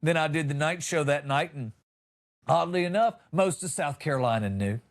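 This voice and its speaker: A man's voice: a little high, moderate pace. A middle-aged man hosting a comedy show, telling a story in a wry, exaggerated way with emphatic punchlines. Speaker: comedian and podcast host. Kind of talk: comic storytelling monologue.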